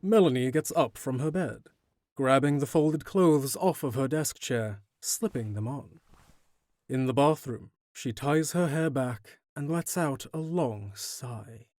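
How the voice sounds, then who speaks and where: A man's voice: mid-range voice, measured pace, darkish tone, no echo. A man reading scripted, descriptive narration for an audio drama.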